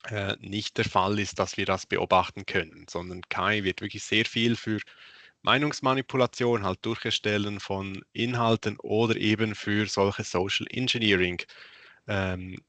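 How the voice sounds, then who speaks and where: A man, a technical analyst, presents in matter-of-fact German with frequent "uhs". His lowish voice has a bright, forward tone. The sound is dry, with no room echo. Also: steady tone, normal speed. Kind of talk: presentation in an online event.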